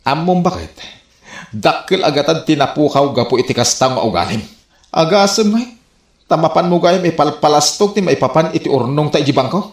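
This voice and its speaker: A man's voice: on the high side, lively intonation, fairly quick. A man playing an exasperated, scolding husband with an Ilocano accent in a radio comedy drama. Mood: stern. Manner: scolding, questioning. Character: exasperated scolding husband worried about lost savings. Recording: roomy, echoing sound.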